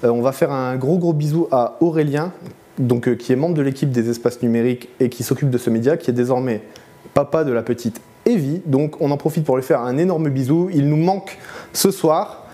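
A man's voice: mid-range voice, fairly quick, dark tone. A man in his thirties with an accent from France, casually hosting an unscripted interview in a small indoor studio. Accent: French from France. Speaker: host of a local media show.

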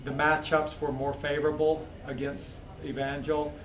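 A man who is a head football coach, answering postgame questions in a steady, measured way with many fillers. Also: on the high side. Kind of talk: postgame press interview. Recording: echoey, distant mic, crowd noise.

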